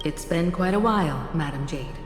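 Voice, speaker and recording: Calm lowish-voiced crew leader. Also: female voice, moderate pace. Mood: calm, composed. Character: calm, gracious, dependable woman crew leader. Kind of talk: video game story voice acting. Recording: echoey hall reverb, music behind.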